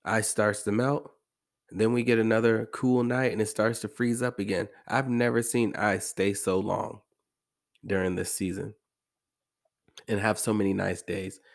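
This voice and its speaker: An American man hosting a podcast, talking casually and repeating phrases for emphasis, with a congested, slightly hoarse voice from allergies. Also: on the low side, flat delivery, normal speed. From American Midwest. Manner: conversational, emphatic repetition. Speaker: podcast host. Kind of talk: casual motivational monologue.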